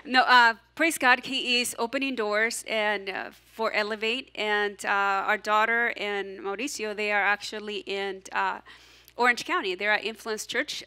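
A woman pastor in her forties with a non-native English accent, preaching a sermon from a church stage in an animated, encouraging way and prompting the congregation to repeat after her. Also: medium pitch, normal speed, clear, resonant tone. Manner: animated, encouraging, audience call-and-response. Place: church stage, large hall.

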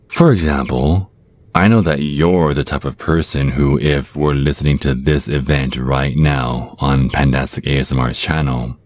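A man's voice: a bass voice, a little mellow, no echo. A man voicing a suave, theatrical vampire stage magician, teasing and pompous, in an ASMR roleplay.

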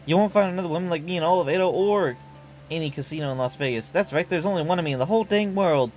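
A man's voice: on the high side, very fast, a little mellow, faint music behind, close to an everyday voice. A man voicing a cocky young woman swordswoman and painter who talks in a brash, boastful way in a game story. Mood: proud.

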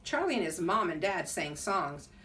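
A middle-aged woman teacher reading a children's storybook aloud in an expressive way. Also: lowish voice, moderate pace. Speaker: second grade teacher reading a bedtime story. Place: small school room, indoors. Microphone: camera a few feet away. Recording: distant mic in a room.

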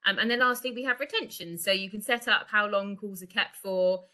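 A woman's voice: medium pitch, brisk, bright, forceful tone. A middle-aged woman giving an informal, explanatory product presentation over an online video call. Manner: explanatory, conversational. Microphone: video call microphone.

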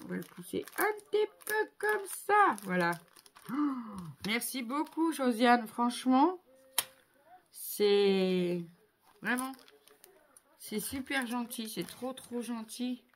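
A woman in her fifties chatting casually to viewers as a craft vlogger, effusive and appreciative, exclaiming often and repeating words haltingly, recorded on a phone indoors at home. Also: fairly high pitch, a little hiss or hum. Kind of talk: casual unboxing chat to viewers. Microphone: phone on a tripod at arm's length.

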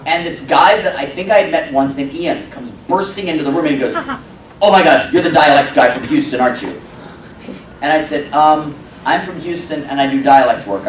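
A male voice actor on a convention panel, telling comedic stories and acting out the dialogue. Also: on the high side. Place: convention panel room with audience. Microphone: table microphone, recorded by far camera. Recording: roomy hall, distant mic, noisy crowd.

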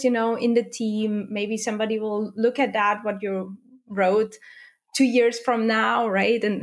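A woman in her thirties whose first language is German, hosting an interview over a video call from a home office, friendly and quick to laugh, with many "you know"s. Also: mid-range voice. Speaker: podcast host. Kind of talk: casual interview conversation. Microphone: video call with wired earphones.

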